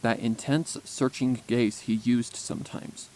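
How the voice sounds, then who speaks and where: A man narrates a first-person story from a script. His voice sits at a middle pitch, and there is faint background noise in the recording. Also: steady tone, unhurried, darkish tone, poor audio. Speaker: fan fiction narrator.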